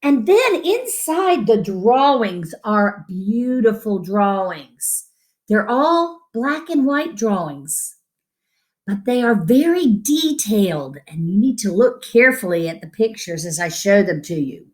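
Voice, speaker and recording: A woman reading a picture book aloud to children in an expressive, gentle, deliberate storytelling manner, with asides about the pictures. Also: mid-range voice. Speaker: children's story-time reader.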